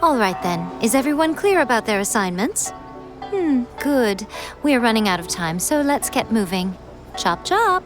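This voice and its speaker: An elegant, sly noblewoman from an anime game. Her voice is high and swings playfully in pitch, with a poised, teasing condescension. Music plays behind it. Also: very animated, moderate pace. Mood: commanding, reassuring. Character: elegant, sly, teasing adult noblewoman, shrine priestess and publisher. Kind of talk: anime game character acting.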